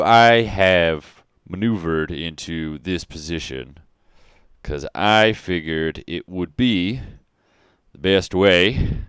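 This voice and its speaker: A man voicing a sly, smirking fey man who lays low, with a halting, folksy Southern American drawl in a tabletop game. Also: a bit bright, smooth, dry recording, clean studio sound.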